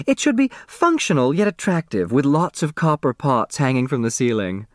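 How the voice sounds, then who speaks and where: A man's voice: medium pitch, big pitch swings, normal speed, no echo, clean studio sound, voice pushed up, lightly acted. A man voicing a pretentious, managing mother who fancies herself a decorator, in an audiobook. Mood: airy, pushy. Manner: affected, managing. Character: pretentious society mother, a self-styled decorator.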